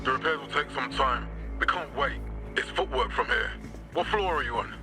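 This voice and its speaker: An actor playing a brisk military commander giving instructions over a radio in a video game cutscene. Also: male voice, on the high side, sing-song, over loud music. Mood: urgent.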